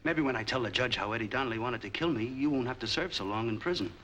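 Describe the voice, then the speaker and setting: An actor playing a shrewd, long-hidden street peddler in a 1940s crime film. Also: male voice, mid-range voice, quick pace, a bit bright, lightly acted. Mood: wry, matter-of-fact. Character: shrewd, forgiving street peddler with a lame leg, long in hiding. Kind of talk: 1940s film noir acting. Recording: noisy 1940s film soundtrack.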